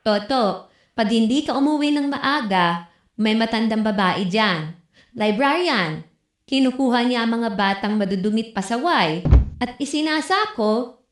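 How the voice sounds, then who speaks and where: A woman's voice: a little high, animated, a little slow. Woman playing an exasperated mother, echoey. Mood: stern warning. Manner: stern, warning. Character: exasperated mother scolding her small son. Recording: roomy echo with music behind.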